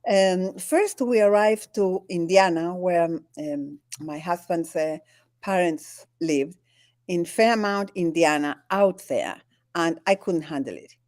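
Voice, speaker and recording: An older woman from Chile with a Chilean Spanish accent, talking in an interview as a guest. Her native language is Spanish, and she speaks haltingly, with many restarts and frequent laughs. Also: lowish voice, a little mellow, no room sound, studio quality. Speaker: guest, spiritual teacher. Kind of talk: conversational interview. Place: home in the Andes.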